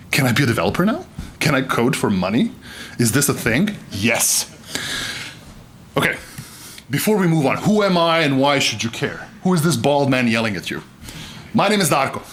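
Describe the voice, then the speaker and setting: Animated man giving a keynote. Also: mid-range voice, a little hiss or hum. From Serbia. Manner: animated, joking, gestures broadly. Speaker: keynote speaker. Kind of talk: conference keynote talk. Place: conference stage, indoors.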